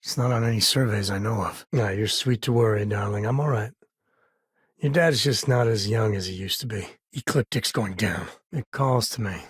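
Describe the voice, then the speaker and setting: An actor playing an easygoing middle-aged frontier ranger and dad in a space video game, husky and raspy, with a wry, plain-spoken manner. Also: male voice, on the low side, moderate pace, no echo, clean studio sound. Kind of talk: video game character dialogue. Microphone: close, dry studio mic.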